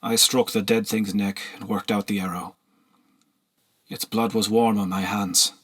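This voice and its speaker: A man narrating a historical novel as an audiobook in a measured, solemn storytelling manner. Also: lowish voice, steady tone, a bit bright, slightly rough.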